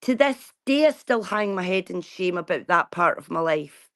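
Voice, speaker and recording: A woman in her forties talks straight to her audience in a casual, outspoken way. Her voice sits a little low for a woman, and she swears without holding back. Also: lively intonation, moderate pace. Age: 40s. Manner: blunt, outspoken, swears freely. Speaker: vlogger. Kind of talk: casual chat.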